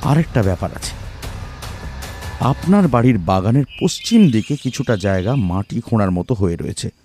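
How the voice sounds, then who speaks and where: A man playing a composed, analytical detective in an audio mystery, explaining deliberately. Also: lowish voice, lively intonation, dark tone, faint music behind. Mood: calm, deductive. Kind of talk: audio detective story acting.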